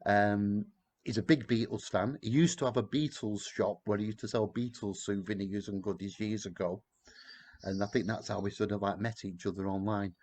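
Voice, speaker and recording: A man's voice: lowish voice, fairly even intonation, normal speed, a little mellow. A middle-aged man with a Lancashire accent, chatting casually and joking with viewers on a live stream from his back garden. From Blackburn, Lancashire, England. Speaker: tour guide hosting a live chat.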